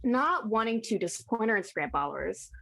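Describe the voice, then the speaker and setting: A breezy, wry demon played by a woman delivers a comic stage monologue. The voice is a bit high and very animated in pitch. The delivery is casual and drily mocking. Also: a little fast, vocal fry, full character voice.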